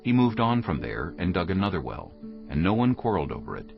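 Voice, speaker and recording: A man's voice: low-pitched, big pitch swings, a little fast, vocal fry. A man narrating a dramatized scripture reading in a solemn storytelling style. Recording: dull recording over soft music.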